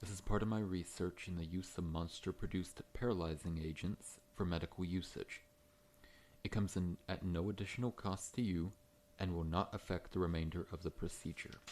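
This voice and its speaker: A man playing a large, friendly scorpion monster dentist in an ASMR roleplay, talking in a gentle, reassuring, professional way. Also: sits low, a little hiss or hum, natural voice. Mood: calm, professional.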